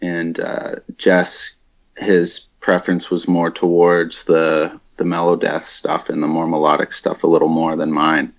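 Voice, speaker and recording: Man, very deep and gravelly, easygoing interview talk, dull lo-fi audio. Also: monotone, unhurried. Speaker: band guitarist and vocalist. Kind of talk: casual interview chat.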